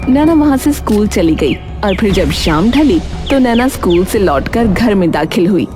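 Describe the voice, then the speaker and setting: A woman's voice: medium pitch, animated, normal speed, over music. A woman narrator reading a scripted cartoon moral story.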